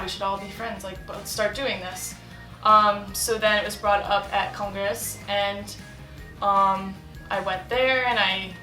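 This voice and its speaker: A young woman chats casually in an interview, her voice bright and forceful. She fills her talk with likes and ums and laughs easily. The room rings with echo, and faint music plays under her. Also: mid-range voice. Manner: chatty, many fillers and laughs.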